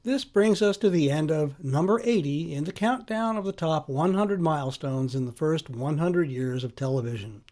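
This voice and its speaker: Male podcast host, somewhat high pitch, scripted documentary narration, measured emphatic delivery. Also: warm tone.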